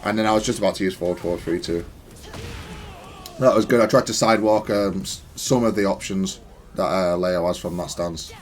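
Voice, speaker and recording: A man's voice: low voice, steady tone, a little fast. A man giving casual, analytical gameplay commentary in a matter-of-fact, self-critical way. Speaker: gaming content creator.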